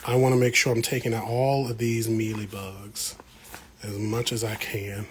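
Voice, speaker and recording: A man's voice: on the low side, level tone, normal speed. An American man chatting casually on a livestream as he works, talking to viewers in a folksy, slangy way. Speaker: plant vlogger hosting a livestream. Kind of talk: casual livestream chat while working. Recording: clear background noise with room ambience.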